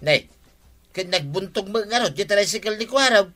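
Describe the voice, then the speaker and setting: An actor with an Ilocano accent playing a lazy, evasive neighbour who borrows everything, dismissive and full of excuses, in radio comedy drama. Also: male voice, high voice, animated, normal speed, a bit bright, faint music behind. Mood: dismissive, reluctant.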